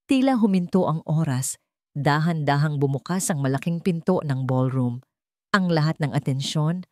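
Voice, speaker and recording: A woman's voice: deep voice, darkish tone, dry recording, clean studio sound. A woman with a Filipino accent narrating a scripted romantic drama story in a dramatic storytelling style.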